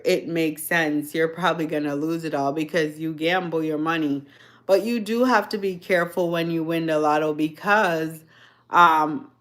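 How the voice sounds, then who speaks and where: A Jamaican woman chats live with her viewers into a phone or webcam. She talks at an unhurried, drawn-out pace in a slightly low voice. She rambles and laughs often. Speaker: livestream host. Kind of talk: casual live chat with viewers.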